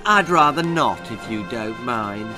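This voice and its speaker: A naive, careless storybook girl voiced by a man in near his own voice. She is sure of herself and unhurried in her speech. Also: medium pitch, animated, over music, pitched below the performer's own voice, close to an everyday voice. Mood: polite. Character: huge careless young girl, polite, naive, self-assured.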